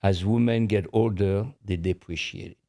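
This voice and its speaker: Low mellow French-accented older man. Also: fairly even intonation, normal speed. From France. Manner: frank, repeats words while searching for English. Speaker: podcast co-host. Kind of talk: casual chat.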